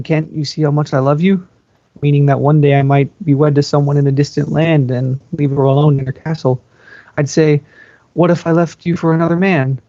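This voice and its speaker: A man's voice: fairly high pitch, mellow, dry recording. A man giving an informal amateur read-aloud of a first-person story. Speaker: amateur fan fiction reader. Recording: dry, dull lo-fi home recording.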